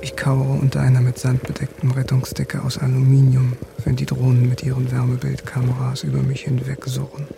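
An actor playing a cold, haunted soldier who narrates in a terse, detached, deliberate way in a radio drama. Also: male voice, mid-range voice, monotone, vocal fry, noisy background. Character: cold, haunted male soldier turned lone avenger. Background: music.

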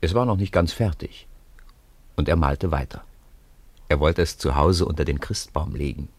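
Deep-voiced man narrating a children's story. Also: moderate pace, husky, no echo. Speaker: narrator of a children's radio play.

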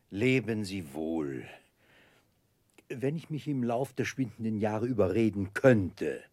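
An actor playing a grandiloquent, mournful middle-aged gentleman down on his luck in a 1960s radio drama, with husky voice and ornate declamation. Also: lowish voice, no room sound, exaggerated voice. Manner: pompous, ornate, declamatory phrasing.

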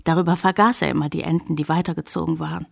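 A reflective woman narrator in a radio play. The voice sits a little low for a woman and has a marked creak. The delivery is measured storytelling, looking back thoughtfully. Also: fairly even intonation, full character voice. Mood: calm narration.

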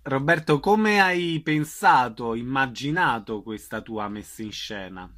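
A male interviewer with an average-pitched voice puts questions to his guest. His delivery is conversational. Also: expressive, unhurried, fairly bright tone.

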